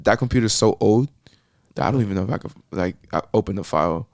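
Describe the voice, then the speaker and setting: A man's voice: lowish voice, fast, no room sound, studio quality. A young man from Miami, a podcast guest talking about his music in a loose, rambling way with lots of fillers. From Miami, Florida. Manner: rambling, many fillers and restarts. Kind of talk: casual interview chat.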